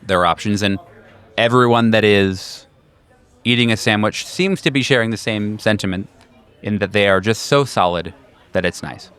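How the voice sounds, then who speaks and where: A man's voice: lowish voice, normal speed, fairly bright tone, smooth. A man narrating a tabletop horror game as its game master and host.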